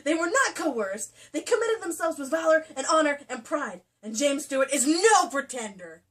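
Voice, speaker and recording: A woman's voice: high-pitched, very animated, normal speed, fairly bright tone, voice pushed up. A woman playing a proud, defiant and passionate young romance heroine, recorded at a distance from the mic.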